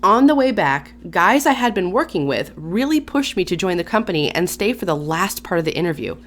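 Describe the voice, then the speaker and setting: A woman's voice: lowish voice, big pitch swings, fairly quick, slight background noise. A woman hosting her own channel, reading a story aloud and breaking off for casual, chatty asides with sighs and small laughs. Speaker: YouTube channel host.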